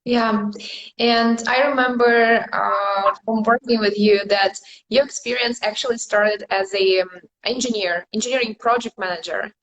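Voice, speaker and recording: A woman's voice: on the high side, fairly even intonation, normal speed, fairly bright tone, rough recording. Encouraging woman host, frequent ums. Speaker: career coach host. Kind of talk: casual interview.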